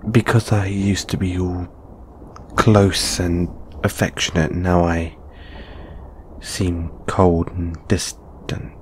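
A man voicing a huffy, easily flustered male lamia flatmate in an intimate audio roleplay, halting and defensive. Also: sits low, a little hiss or hum. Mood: hesitant, evasive. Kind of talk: intimate one-sided audio roleplay acting.

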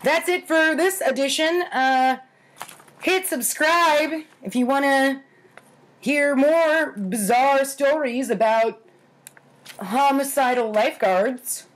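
A woman in her thirties with an American accent, reading stories aloud in a theatrical, wry, deliberate way with casual asides. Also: high voice, low-quality recording. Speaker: host of a storytime series. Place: home kitchen, indoors.

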